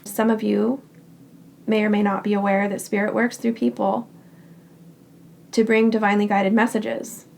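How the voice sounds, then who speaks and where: A woman giving a tarot reading in short, unhurried phrases. Her voice is raspy and hoarse because she is getting over a cold. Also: medium pitch. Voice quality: raspy, scratchy throat. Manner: calm and reassuring, speaks in short unhurried phrases. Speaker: tarot card reader. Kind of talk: pick-a-card tarot reading.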